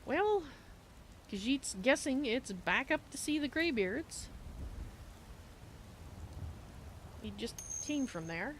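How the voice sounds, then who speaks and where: A woman's voice: high voice, sing-song, unhurried, a bit bright, slight background noise, pitched above the performer's own voice, exaggerated voice. A woman voicing a polite, hesitant cat-folk adventurer from a fantasy game, with a wry manner. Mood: grumbling. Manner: speaks of herself in third person, hesitant, wry.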